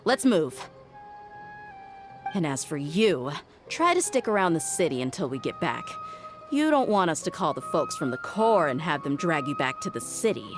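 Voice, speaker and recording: A woman's voice: on the low side, wildly swooping pitch, moderate pace, music in the background. An actress voicing a stern, impatient woman mercenary who talks bluntly and gives orders, in a game cutscene. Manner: blunt, commanding, threatening.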